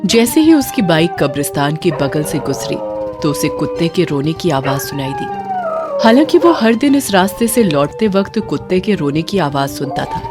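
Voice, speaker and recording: A woman's voice: on the low side, huge pitch swings, normal speed, over music. A woman narrating a scripted horror story in a dramatic storytelling style.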